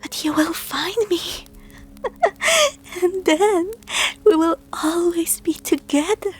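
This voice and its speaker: A woman voicing a heartbroken, deranged young woman in a spooky story, cooing with obsessive, menacing love and breathy giggles. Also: very high voice, lively intonation, slow, pitched above the performer's own voice, full character voice. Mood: crazed tenderness.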